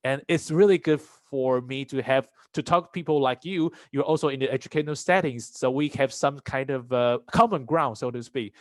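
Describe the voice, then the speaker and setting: A man's voice: medium pitch, moderate pace, few pauses, no room sound. A young man from Taiwan, an international student, speaking reflectively in a casual chat with frequent restarts. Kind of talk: casual conversation.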